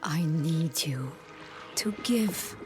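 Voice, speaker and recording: Low, manipulative phantom mother. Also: expressive, full character voice. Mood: coaxing. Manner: slow, theatrical, swinging from whisper to force. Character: mother apparition, tender turning cruel and menacing. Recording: music under the voice.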